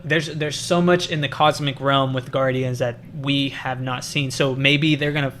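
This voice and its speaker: A man chats casually about film as a podcast host, with a mid-pitched voice. He restarts phrases often and circles back on his words, over faint room noise. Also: fairly even intonation, moderate pace, a bit bright. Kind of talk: casual movie discussion.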